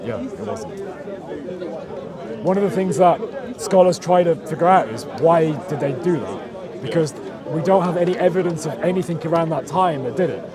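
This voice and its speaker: A man arguing in a street debate, calmly explaining his points one at a time. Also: on the high side, moderate pace. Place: outdoor public speaking corner. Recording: crowd noise around.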